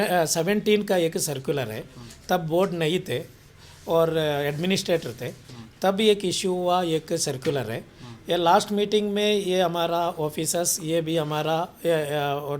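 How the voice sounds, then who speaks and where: High-pitched man, halting explanations. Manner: halting, repetitive, mixes in English words. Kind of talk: explanatory interview answers.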